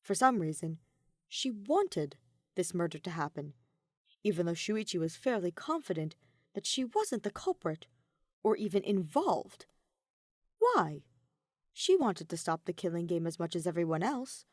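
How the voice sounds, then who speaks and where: Woman reading a story aloud, expressive pitch, really dry close sound, audiobook narrator. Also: a little slow, pauses often, no room sound. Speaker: podfic narrator.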